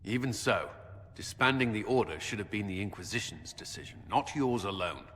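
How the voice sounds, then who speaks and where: An actor playing a stern, sceptical military commander in a fantasy game, formal and disapproving. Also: male voice, lowish voice, animated, normal speed, a bit bright, slight background noise. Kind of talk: dramatic fantasy game acting. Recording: long hall reverb, faint music.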